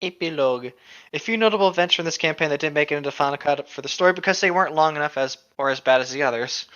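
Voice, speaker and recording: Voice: mid-range voice, very fast, a bit bright, lo-fi sound. A co-host casually reading tabletop gaming anecdotes aloud, informal and amused.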